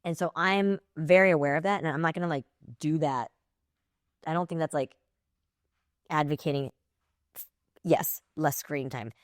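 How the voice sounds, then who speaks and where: A woman's voice: low-pitched, normal speed, long pauses, dry recording, clean studio sound. A woman in her forties with an American accent, chatting casually as a podcast co-host, blunt and emphatic. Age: 40s. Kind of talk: casual chat.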